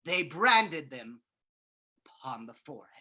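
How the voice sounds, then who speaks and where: Woman playing a mad gloating villain, swooping and husky. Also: sits low, big pitch swings, sharp tone, exaggerated voice. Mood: gloating menace. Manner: theatrical, menacing, drawn-out delivery. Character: mad vengeful male villain, gloating and murderous. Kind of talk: old-time radio drama reading.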